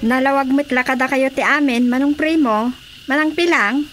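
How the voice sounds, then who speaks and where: A woman's voice: sits high, normal speed, fairly bright tone, soft background music. A woman playing a persuasive, businesslike madam recruiter in a radio drama comedy.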